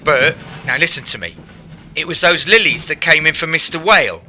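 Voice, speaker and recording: A man playing a steady, reassuring young working-class theatre lad in a radio play. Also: on the high side, moderate pace, a little hiss or hum. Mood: concerned, soothing. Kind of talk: radio drama acting.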